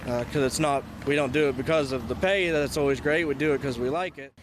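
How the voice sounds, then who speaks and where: A man's voice: mid-range voice, brisk. A man who works as a paramedic, answering informally in a news interview. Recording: faint outdoor vehicle noise.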